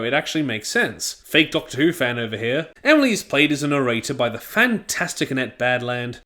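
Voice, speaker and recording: A man's voice: medium pitch, fairly bright tone. An Australian man with an Australian accent, narrating a scripted review.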